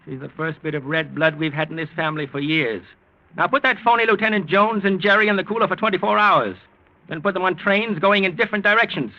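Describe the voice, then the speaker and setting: An actor playing a shrewd, commanding rich American grandfather in 1940s radio style. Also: high voice. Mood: commanding, proud. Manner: brisk, commanding. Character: shrewd, feisty rich old grandfather, police commission president. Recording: muffled 1940s radio recording.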